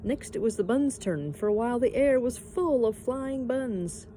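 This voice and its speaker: A woman's voice: fairly high pitch, lively intonation, moderate pace, warm tone, a little hiss or hum. A woman narrating a children's story expressively, like a teacher reading aloud.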